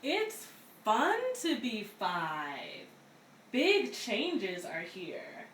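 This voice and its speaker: A woman reads a picture book aloud for young children, heard from a distance in an echoey room. Her delivery is expressive and deliberate. There is faint noise behind her. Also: medium pitch. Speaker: read-aloud story reader at a community center. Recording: roomy, distant mic, faint background noise.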